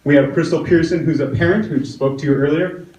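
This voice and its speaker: A man with a loud voice moderating a community meeting and introducing a panel, with frequent "uh" fillers. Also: fairly high pitch, steady tone, a little fast. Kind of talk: public meeting emcee. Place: large room at a community meeting. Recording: roomy, distant, with faint noise.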